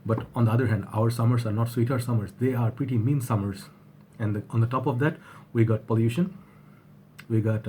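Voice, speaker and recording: Man, husky from a cold, rambling casual chat, mid pitch, faint background hiss. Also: warm tone. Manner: rambling, repeats himself, restarts often. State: husky from flu. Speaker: fragrance reviewer. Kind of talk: casual vlog talk to camera.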